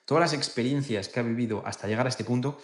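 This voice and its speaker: Male host reading a scripted intro, clear delivery, roomy echo. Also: medium pitch, fast. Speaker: channel host.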